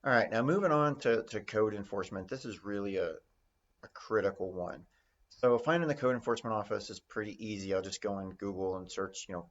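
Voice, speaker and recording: A man's voice: lowish voice, highs cut off. A man giving informal, conversational instruction as an investing teacher. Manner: conversational, role-plays example phone calls. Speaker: real estate note investing instructor.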